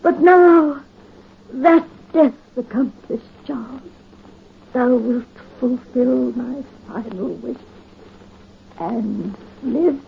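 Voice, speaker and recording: A woman's voice: high voice, extremely slow, vocal fry, pauses often, slight background noise, heavily acted. An actress playing a resolute aristocrat mother who urges sacrifice in solemn, archaic 1930s radio drama speech. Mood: anguished resolve. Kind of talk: 1930s radio horror acting. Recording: muffled 1938 radio broadcast.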